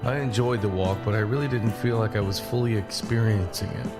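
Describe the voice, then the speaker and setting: A man acting as an easygoing young male hiker tells his story in an audio drama. His voice is low, his delivery conversational and a little guarded. Guitar music plays prominently behind him. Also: brisk, close to an everyday voice. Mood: reflective. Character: John, easygoing but guarded young man hiking long-distance. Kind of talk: first-person audio drama narration and dialogue.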